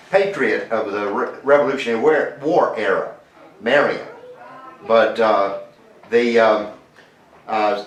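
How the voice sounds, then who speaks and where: Relaxed man on a ham radio call. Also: mid-range voice, echoey room, low-quality recording. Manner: relaxed, spells words in the phonetic alphabet. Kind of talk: radio contact chat. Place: home radio station in Mexico.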